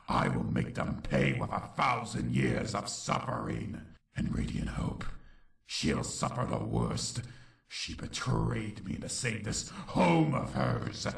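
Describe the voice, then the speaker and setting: Super deep evil pony king, bitter n menacing, swoops around, echoey. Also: exaggerated voice. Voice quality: gravelly. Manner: slow, dramatic, menacing delivery. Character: villainous monster king, brooding, vengeful, bitter male tyrant pony. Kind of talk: dramatic narration in a comic audio dub.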